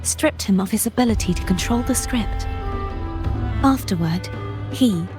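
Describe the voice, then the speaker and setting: A solemn, mystical warrior woman of a fantasy video game, acted by a woman, speaks with grave courtesy. Her mid-pitched voice is formal and measured, each sentence carefully laid out. Prominent music plays behind her. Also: lively intonation, close to an everyday voice. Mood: grave, composed. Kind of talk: scripted game dialogue.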